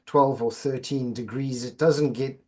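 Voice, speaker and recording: A man's voice: medium pitch, fairly even intonation, measured pace, mellow. A middle-aged man from Zimbabwe, a podcast guest chatting casually and often repeating words as he goes. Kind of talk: casual interview chat.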